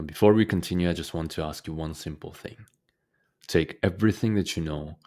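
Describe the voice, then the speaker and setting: A man leading a guided meditation as a teacher, speaking calmly and deliberately with frequent pauses. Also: a bass voice.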